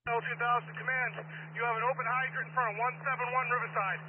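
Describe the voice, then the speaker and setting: A man's voice: very high-pitched, little pitch movement, fast talker. Male firefighter, clipped radio calls, distant from the mic under heavy static and noise. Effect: two-way radio. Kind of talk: terse emergency radio traffic. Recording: very noisy, tinny radio scanner capture, distant.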